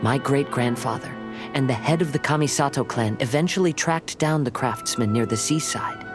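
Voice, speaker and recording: A man playing a gentle young wandering samurai in a video game, speaking in measured, formal, reflective phrases. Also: medium pitch, music in the background, natural voice. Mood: calm, reflective. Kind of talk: video game story dialogue.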